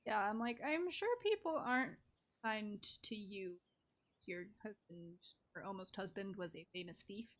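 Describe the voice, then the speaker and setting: A woman's voice: medium pitch, moderate pace. A young woman giving casual, rambling live game commentary with giggly asides as a streamer.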